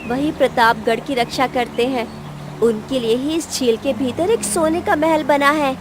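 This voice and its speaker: Princess's maid, female actor: devout, cautious, high-pitched cartoon voice with ambient noise behind. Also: unhurried. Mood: earnest. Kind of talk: Hindi cartoon story voice acting.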